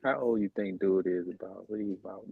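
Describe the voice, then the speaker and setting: Gravelly low-voiced man chatting. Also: no room sound, narrow, tinny audio. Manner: laughs often, drawn-out talk. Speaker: co-host reacting to clips. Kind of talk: casual reaction chat.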